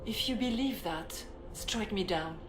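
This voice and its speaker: A woman playing the serene, cryptic spirit of a holy woman in a fantasy game, speaking solemnly and gently. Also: mid-range voice, expressive, measured pace, natural voice. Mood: calm, cryptic. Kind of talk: fantasy video game voice acting. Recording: very echoey, loud music behind.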